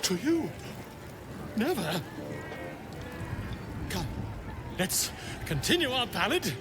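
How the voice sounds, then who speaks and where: An actor voicing a captive bard who sings theatrically and stammers nervously. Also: male voice, big pitch swings, slow pace, noisy background, exaggerated voice. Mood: flustered. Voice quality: high, theatrical sing-song delivery with nervous stammering. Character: captive bard forced to sing flattery, flustered and theatrical.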